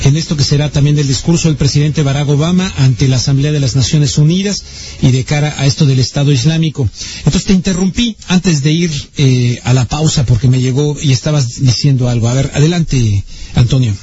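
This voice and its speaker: A man's voice: fairly high pitch, a little fast, mellow. A man hosting a live talk radio show, handing over to a guest. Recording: slightly dull radio audio, faint music.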